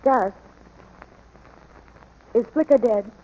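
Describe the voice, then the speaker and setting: An actress playing an anxious, tender ranch wife and mother in an old radio drama, speaking softly and earnestly. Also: fairly high pitch, very slow. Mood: worried. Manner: soft, earnest, pleading. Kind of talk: 1940s radio drama acting. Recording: old noisy radio broadcast.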